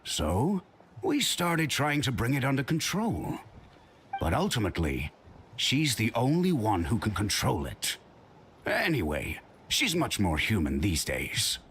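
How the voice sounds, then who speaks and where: A man's voice: medium pitch, huge pitch swings, a little slow, fairly bright tone, a little hiss or hum. A man acting a worried, protective middle-aged father in a video game, earnest and somewhat hesitant. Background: game music.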